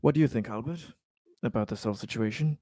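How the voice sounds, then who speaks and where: A man's voice: mid-range voice, fast talker, dark tone, dry recording. A man improvising in character in a tabletop roleplaying game, hesitant and often stammering over his words.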